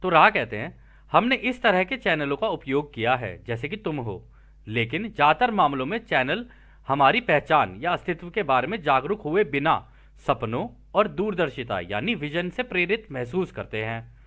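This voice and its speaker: A man's voice: fairly high pitch, big pitch swings, moderate pace, bright, forceful tone. A man teaching spiritual material, reading aloud and explaining it informally, checking in with listeners through rhetorical questions.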